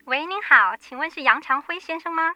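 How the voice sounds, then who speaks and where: A woman's voice: sits high, a little fast, pushed and bright, dry recording. A woman playing a polite college staffer on a phone call in a radio drama, courteous and formal.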